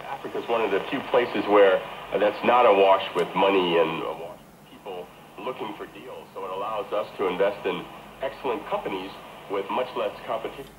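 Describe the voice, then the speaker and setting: Male interview guest, low pitch, echoey shortwave reception with clear noise. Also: a little fast. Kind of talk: broadcast interview. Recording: muffled, echoey, noisy shortwave radio reception.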